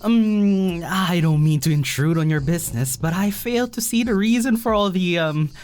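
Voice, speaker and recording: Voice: mid-range voice, normal speed, a little mellow, soft background music, lightly acted. An actor playing a polite, nervous young human traveler in an audio drama, hesitant, earnest and placating. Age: young adult. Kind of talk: audio fiction podcast acting.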